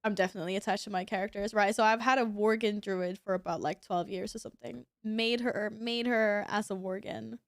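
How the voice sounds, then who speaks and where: A woman's voice: mid-range voice, fairly even intonation, brisk, gravelly, few pauses, no room sound, clean studio sound. A young woman streaming a game, sniffly from sneezing, chatting casually and reacting as she plays. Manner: chatty, reacts to gameplay, reads chat aloud. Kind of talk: casual gaming stream chat.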